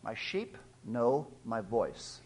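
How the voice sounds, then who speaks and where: A man's voice: big pitch swings, slow, husky. Middle-aged man preaching a sermon. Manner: reads scripture aloud and explains old words as he goes; pitch rises sharply and louder when stressing verses. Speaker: pastor.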